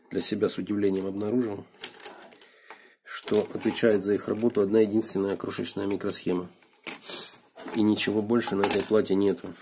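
A low, gravelly man sounding hoarse from a cold, his voice dark and mellow. He talks through a gadget teardown in an unhurried way, thinking aloud. Faint noise and clicks sit behind him. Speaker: video blogger. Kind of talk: casual hands-on gadget teardown. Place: indoors at a wooden desk.

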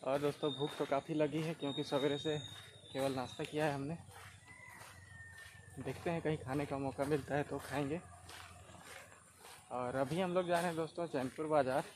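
A man's voice: a little high, steady tone, moderate pace, long pauses. A young man walking through rural lanes and a street market, narrating a casual travel vlog to his viewers on a handheld phone. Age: young adult. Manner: chatty tour-guide commentary, addressing viewers as friends. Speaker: travel vlogger. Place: outdoors, rural roads and busy street market. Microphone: handheld phone camera. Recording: outdoor phone recording with street noise.

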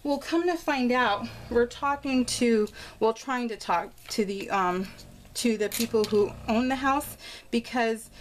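A woman's voice: a little high, a little slow, rough recording. Chatty, rambling woman over background noise. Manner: rambling, informal storytelling with exclamations. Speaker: craft vlogger showing a scrapbook layout. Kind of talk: casual, chatty real-time craft voice-over.

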